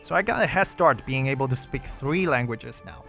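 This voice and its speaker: A man's voice: medium pitch, animated, moderate pace, gravelly, a bit bright. Young man, Chinese accent, Mandarin native; scripted gaming-channel Q&A, careful delivery, faint backing music. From China. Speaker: gaming YouTuber. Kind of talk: read Q&A video narration.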